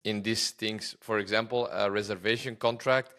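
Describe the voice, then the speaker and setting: Belgian guy hosting a podcast, dutch accent, kinda low voice, lots of uhs and restarts. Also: steady tone, moderate pace, fairly bright tone, clean tone. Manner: hesitant, many fillers and restarts, non-native phrasing. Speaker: podcast host. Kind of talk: podcast interview, casual chat.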